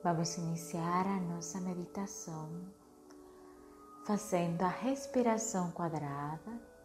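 Female meditation guide, slightly low voice, soothing and unhurried delivery in short spaced phrases, over prominent meditation music. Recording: music behind the voice.

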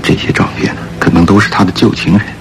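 A man acting in a radio drama, playing a suave, shrewd gentleman thief who muses theatrically. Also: low-pitched, steady tone, fast talker, creaky, low-quality recording. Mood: musing, intrigued. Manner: theatrical, musing aloud. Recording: radio play with loud music bed.

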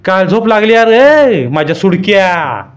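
A man voicing an angry old village woman who scolds in high, drawn-out shouts. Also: big pitch swings, soft background music. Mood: angry scolding.

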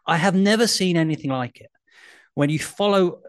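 A man on a casual podcast chat, animated and enthusiastic, telling a story with the odd self-deprecating laugh. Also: fairly high pitch, normal speed. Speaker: podcast co-host. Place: traveling abroad, remote call.

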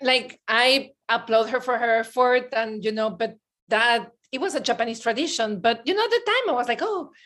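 A woman in her forties, born in Belgium, a podcast guest telling lively stories and acting out her past self in excited bursts. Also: a little high, normal speed. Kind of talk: casual interview chat.

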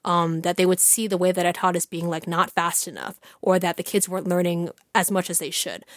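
A woman who teaches Chinese, telling a personal story in a relaxed, candid way with many "like" and "you know" fillers. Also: lowish voice, a little fast, no echo, studio quality. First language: English. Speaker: Chinese language teacher, podcast guest. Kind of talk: casual conversational storytelling.

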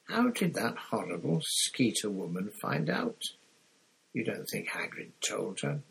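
A man's voice: on the low side, huge pitch swings, measured pace, vocal fry, a bit bright, husky, heavily acted, poor audio. A man voicing an earnest teenage schoolgirl with a questioning manner.

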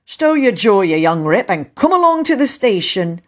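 A woman's voice: medium pitch, big pitch swings, normal speed, pitched above the performer's own voice, natural voice. A woman voicing an adult male station master in a storybook, stern and scolding in an old-fashioned way but kindly underneath. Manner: scolding, old-fashioned British turns of phrase. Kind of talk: storybook character voice.

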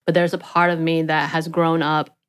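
A woman's voice: low voice, level tone, normal speed, clear, resonant tone. A woman co-hosting a book podcast, speaking candidly and enthusiastically in a casual chat. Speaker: podcast co-host.

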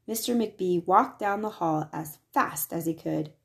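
Low-pitched woman reading a children's storybook. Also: a little slow, vocal fry. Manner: expressive, acts out the dialogue. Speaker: storybook reader. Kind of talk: scripted narration, reading a children's picture book aloud.

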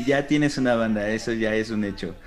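A man's voice: lowish voice, normal speed, soft background music. Man asking curious questions. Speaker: interviewer. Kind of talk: casual interview.

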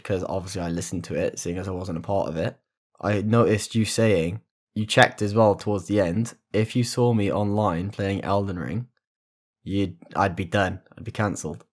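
A man's voice: fairly low pitch, steady tone, normal speed. A man co-hosting a casual podcast, telling laid-back stories and swearing freely. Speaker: podcast co-host.

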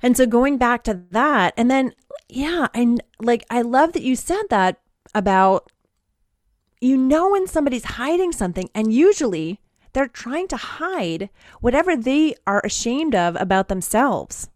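A woman's voice: on the high side, lively intonation, measured pace, dry recording. Candid woman podcast host, dry sound. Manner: candid, casual, swears freely. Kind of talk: casual podcast conversation.

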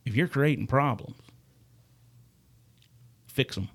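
A man's voice: medium pitch, fairly bright tone. A man talking as a guest musician in a casual podcast chat, earnest and often stumbling over his words. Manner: halting, repeats words, earnest.

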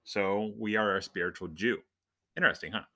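A man with a fairly low, strongly bright voice leads an informal Bible lesson. He explains things conversationally, reads scripture, and poses questions to his listeners as he goes. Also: fairly even intonation, fairly quick. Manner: conversational, explanatory, asks questions and answers them. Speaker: podcast host giving Bible teaching.

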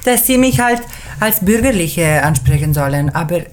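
A woman's voice: lowish voice, expressive, normal speed, slight background noise. A woman from Switzerland whose first language is Italian, speaking German with a Swiss Italian accent as a guest on a casual podcast, sassy and dropping English phrases.

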